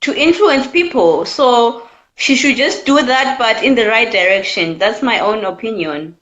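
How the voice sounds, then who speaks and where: Zimbabwean woman, twenties, earnest caller, slightly high pitch, echoey. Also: lively intonation, measured pace, fairly bright tone. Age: 20s. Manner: earnest, repeats her points. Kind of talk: call-in opinion chat.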